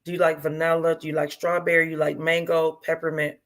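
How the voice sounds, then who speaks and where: A woman's voice: sits low, level tone, fairly quick. A woman in her fifties, talking casually as a guest and repeating herself for emphasis. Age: 50s. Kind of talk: casual chat.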